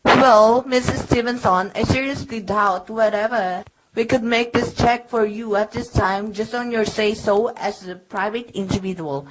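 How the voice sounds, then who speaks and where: A woman playing an unhurried, officious telephone operator in a radio play, formal and procedural. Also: on the high side, close to an everyday voice. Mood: officious, unmoved. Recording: clearly noisy recording with clicks.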